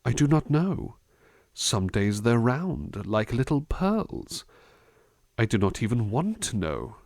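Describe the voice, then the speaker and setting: A man playing a gentle, attentive butler in an ASMR roleplay, musing in a polite, hesitant, formal way into a close microphone. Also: medium pitch, sing-song, takes it slowly, no room sound. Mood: gently whimsical. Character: gentle, musing, attentive male butler looking after someone. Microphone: close dry microphone.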